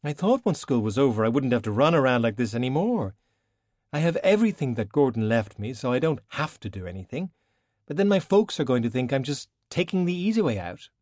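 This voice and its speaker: A man's voice: medium pitch, sing-song, a little fast, darkish tone, no echo, clean studio sound, pitched above the performer's own voice, natural voice. A man voicing a stubborn, idealistic young woman sorcerer who protests earnestly in an audiobook. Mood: frustrated.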